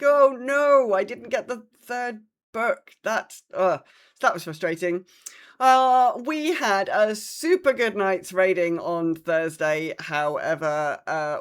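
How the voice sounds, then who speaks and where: A woman hosting a podcast, chatting casually about her week of gaming and acting out reactions as she retells things. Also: lowish voice. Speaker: podcast host.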